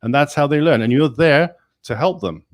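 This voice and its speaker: A male engineering professor speaking in a webinar discussion, energetic and emphatic, with many restarts. English is not his first language. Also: a little high, moderate pace.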